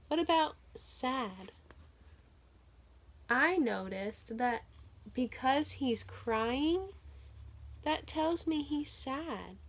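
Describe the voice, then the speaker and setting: A woman teacher reading a picture book aloud to children, sounding out letters and vowel sounds clearly and asking her listeners questions. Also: a little high. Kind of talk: children's read-aloud phonics lesson.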